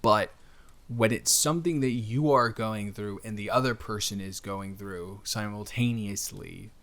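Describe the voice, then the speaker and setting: A man playing a friendly, flirty stranger in an improvised audio roleplay, talking in a rambling, hesitant, earnest way with gentle teasing. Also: fairly low pitch, a little slow. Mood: earnest, reassuring. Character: cute friendly male stranger at pumpkin patch, wholesome, flirty, reassuring. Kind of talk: improvised intimate audio roleplay spoken to the listener.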